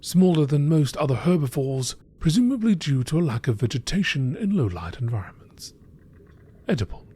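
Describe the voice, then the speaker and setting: A man reading scripted text in a measured, articulate nature documentary narration style. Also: fairly high pitch, big pitch swings, warm tone, slightly rough.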